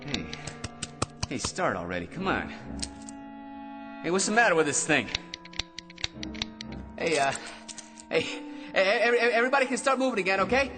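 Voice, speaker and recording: A man's voice: sits high, big pitch swings, moderate pace, long pauses, over music. An actor playing a desperate, panicking talkative man in a radio drama, stammering, repeating himself and pleading. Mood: nervous, impatient. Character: desperate, panicking talkative man trapped among frozen people.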